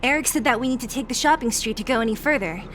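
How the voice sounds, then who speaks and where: A woman's voice: a little high, quick pace, fairly bright tone, natural voice. A woman voicing a brash, scrappy young underground fighter in a video game, with a blunt, casual, cocky manner. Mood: brisk, casual.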